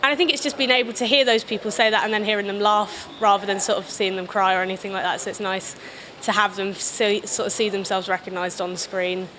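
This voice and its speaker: A woman's voice: medium pitch, fairly even intonation, brisk, fairly bright tone. British woman film director chatting in an interview, bit rambly n hesitant, faint bg noise. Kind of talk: casual interview answers. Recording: clear background noise.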